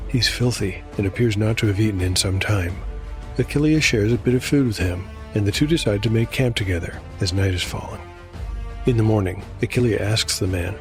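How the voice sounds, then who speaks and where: Male narrator-GM, low voice, measured grave delivery, music underneath. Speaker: host and solo game master. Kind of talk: dramatic fantasy narration with dice commentary.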